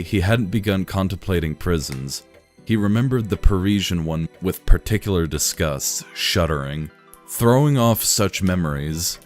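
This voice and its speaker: Deep-voiced man reading deadpan. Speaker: reader. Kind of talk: reading a fan story aloud.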